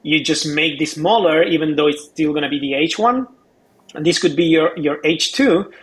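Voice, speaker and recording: A man's voice: fairly high pitch, moderate pace, fairly bright tone. A man giving casual expert commentary as a co-host, explaining step by step and often repeating words as he goes.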